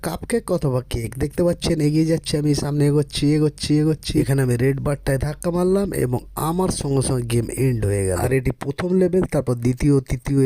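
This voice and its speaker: A man's voice: on the high side, mellow, dry recording. A man narrating a step-by-step screen tutorial as host, often repeating himself and addressing viewers as friends.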